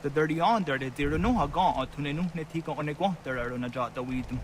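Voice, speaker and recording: An actor playing a solemn, earnest villager in a historical video game, speaking in measured dramatic dialogue. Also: male voice, on the high side, slight background noise, close to an everyday voice. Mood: earnest, insistent.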